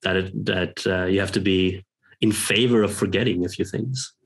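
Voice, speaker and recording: A man, a guest talking reflectively on a video call from a home room, pausing and restarting often as he thinks aloud. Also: deep voice, fairly even intonation, vocal fry. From Norway. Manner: hesitant, thoughtful, many pauses and restarts. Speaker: guest, professor. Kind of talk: reflective interview talk. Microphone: headphones on a video call.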